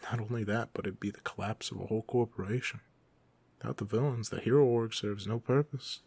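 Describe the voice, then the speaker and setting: A cocky young supervillain voiced by a man talks close and dry, with a creaky, gravelly edge. He is smug and teasing in a casual, conversational way, with a soft heart underneath, as in an intimate roleplay audio drama. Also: on the low side, fairly even intonation, fairly quick, no room sound. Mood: smug, persuasive.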